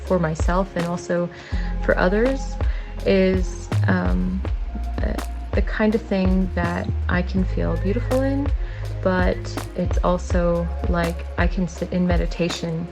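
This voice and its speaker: A woman's voice: on the low side, lively intonation, takes it slowly, low-quality recording. A woman leaving a casual voice message, rambling and hesitant with many fillers as she describes what she wants. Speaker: guest. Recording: music playing under voice.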